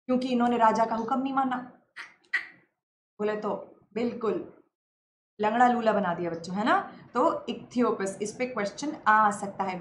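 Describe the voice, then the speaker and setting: A young woman with a sore throat, teaching a lively, teasing online biology class. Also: medium pitch. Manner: playful, teasing, mixes English terms into Hindi. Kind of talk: lively live-stream lecture. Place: indoor room, green-screen beach backdrop. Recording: slightly roomy with faint ambient hiss.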